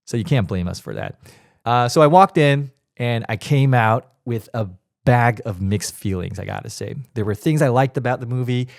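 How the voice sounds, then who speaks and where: A man with a raspy, cold-worn voice hosts his own podcast, chatting away with lively emphatic asides. His voice sits at an ordinary middle pitch. The recording is close and dry, with no room sound. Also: normal speed, no echo. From Taiwan. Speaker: podcast host. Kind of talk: casual solo podcast review.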